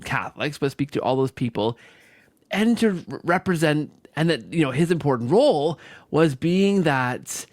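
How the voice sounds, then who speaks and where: A man's voice: fairly high pitch, lively intonation, moderate pace, no room sound. A man hosting an interview podcast, reflecting conversationally with enthusiasm and often repeating or restarting his phrases. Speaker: podcast host.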